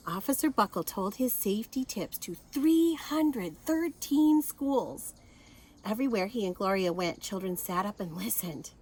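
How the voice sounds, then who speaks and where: A woman reading a picture book aloud to children in an expressive manner, adding asides and questions for her young listeners. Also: mid-range voice, a little slow, slight background noise.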